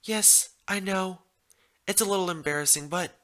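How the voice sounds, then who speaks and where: A man's voice: high voice, moderate pace, sharp tone, voice pushed up. A man voicing an injured female pony nurse in a story reading, embarrassed and exasperated, hesitant and protesting. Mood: embarrassed, flustered.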